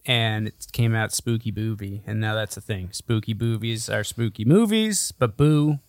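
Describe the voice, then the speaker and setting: A man's voice: lowish voice, moderate pace, dry recording. A man co-hosting a podcast in casual comedic banter, talking in a sardonic, teasing, foul-mouthed way. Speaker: podcast co-host.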